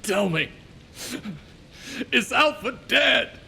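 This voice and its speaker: A wailing, sobbing crybaby. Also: male voice, very high-pitched, lively intonation, extremely slow, vocal fry, bright, forceful tone, slight background noise, heavily acted. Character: huge blubbering male crybaby, wailing. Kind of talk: video game cutscene voice acting.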